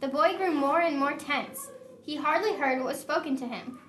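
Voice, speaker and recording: A woman's voice: sits high, moderate pace, fairly bright tone. A woman narrating a story for a live puppet show. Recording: live show with crowd noise.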